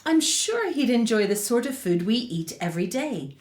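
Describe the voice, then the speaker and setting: A dreamy, easygoing young sister from a Bible radio play. Her voice is fairly high and very animated, with gentle reasoning, in an echoey room. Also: moderate pace. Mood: gently persuading.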